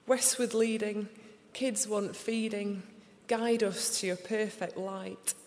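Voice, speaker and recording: A young woman with a Sheffield accent reading her poems aloud in a slow, deliberate recital before an audience. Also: medium pitch, fairly even intonation, darkish tone. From England. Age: young adult. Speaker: poet. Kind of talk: poetry reading. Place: large concert hall. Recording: live reading in a reverberant hall.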